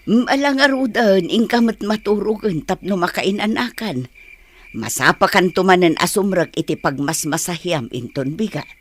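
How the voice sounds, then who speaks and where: A woman acting a gentle, consoling older village mother in an Ilocano radio drama, with an Ilocano Filipino accent. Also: lowish voice, normal speed, slight background noise, close to an everyday voice.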